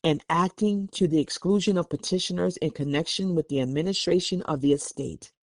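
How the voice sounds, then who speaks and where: A man's voice: on the high side, a little fast, darkish tone, dry recording. A man hosting a podcast, reading documents aloud and giving opinionated, conversational commentary. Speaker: podcast host.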